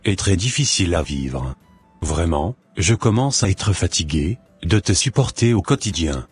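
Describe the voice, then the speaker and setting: A man playing a weary, reproachful husband in a cartoon, speaking in deliberate short phrases. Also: low voice, animated, husky, faint music behind, exaggerated voice. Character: exasperated husband fed up with quarrelling. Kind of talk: scripted cartoon dialogue.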